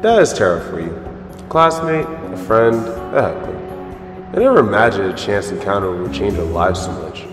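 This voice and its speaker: Brooding young vigilante hero, played by a man, low voice, animated delivery, self-serious and exasperated, music behind. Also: moderate pace. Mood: reflective monologue. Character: brooding, earnest young male vigilante superhero. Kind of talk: audio drama acting with inner monologue. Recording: music bed, some lines in reverb.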